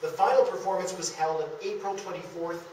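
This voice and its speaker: A man's voice: high-pitched, steady tone, fairly quick, a little mellow. A man giving an illustrated history lecture as the speaker at a live talk. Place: meeting room in a historic house, before an audience. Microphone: distant camera in the audience. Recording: very echoey room, far off, faint noise.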